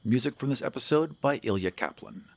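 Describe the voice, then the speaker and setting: A man's voice: mid-range voice, expressive, fairly quick, no room sound. A man reading scripted announcements as a podcast host.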